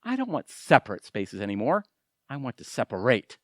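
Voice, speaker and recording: A man's voice: medium pitch, wildly swooping pitch, fairly quick, no echo, clean studio sound. A man acting in an audio drama as a wounded, sarcastic middle-aged husband who feels pushed around. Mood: bitter, fed up. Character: aggrieved, sarcastic middle-aged husband, former Vegas performer.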